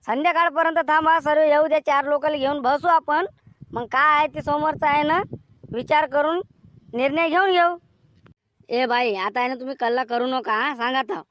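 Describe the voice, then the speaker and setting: A man voicing an exasperated village peacemaker in a cartoon comedy, admonishing in a rural Vidarbha Marathi accent with a pitched-up voice. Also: fairly even intonation, moderate pace, a bit bright, no room sound. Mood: admonishing, measured. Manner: admonishing, mediating.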